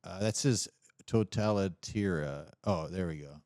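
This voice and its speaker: Low-voiced man rambling through casual chat. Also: a little mellow, dry recording, studio quality. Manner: rambling, halting explanations with casual slang. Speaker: podcast co-host.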